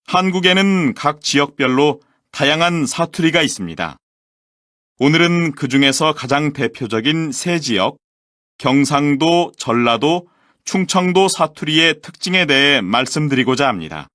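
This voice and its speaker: Clear formal man reading a lesson. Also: on the high side, lively intonation, bright, forceful tone, dry recording, studio quality. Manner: clear, measured, formal presentation delivery. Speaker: textbook audio voice actor. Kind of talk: scripted language-lesson reading.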